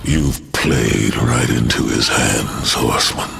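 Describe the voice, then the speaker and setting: An actor voicing a boastful, menacing demon warlord in a video game, taunting and grandiose. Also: male voice, extremely low pitch, slow, creaky, heavily acted. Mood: sly taunting. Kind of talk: theatrical video game villain acting. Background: music.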